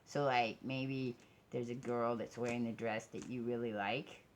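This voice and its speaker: A woman's voice: extremely low pitch, fairly even intonation, a little slow, slight background noise. A woman vlogger talking casually and candidly, in an informal, rambling way that drifts from one thought to the next. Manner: rambling, digressive, often trailing off and changing track. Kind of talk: casual rambling personal vlog talk.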